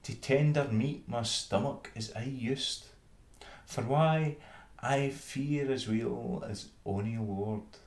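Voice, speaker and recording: A man's voice: mid-range voice, takes it slowly, a little mellow, roomy sound. A man voicing a proud, fussy elder town mouse sister with a Scottish accent in a storybook verse reading. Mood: haughty. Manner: haughty, scornful.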